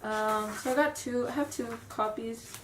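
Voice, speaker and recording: A woman's voice: on the high side, fairly even intonation, moderate pace, background noise. Young woman, stuffy from a cold, nervous giggly rambling, roomy far-off home camera sound. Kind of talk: casual vlog chat. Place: room at home.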